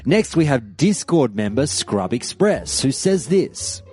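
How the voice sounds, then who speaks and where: A man hosting a podcast, his voice rising and falling freely as he reads out listener mail and thanks his community. He sits at a middling pitch. Soft music plays faintly underneath. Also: big pitch swings, takes it slowly. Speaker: podcast host. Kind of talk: podcast monologue reading listener emails.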